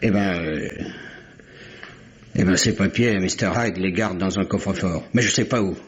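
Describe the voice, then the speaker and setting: An actor playing a gruff, grudging tobacconist in a French radio mystery, talking in curt, guarded, colloquial bursts. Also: male voice, low-pitched, normal speed, lightly acted. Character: gruff, wary, grudging male London tobacconist. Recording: dull, muffled old radio recording.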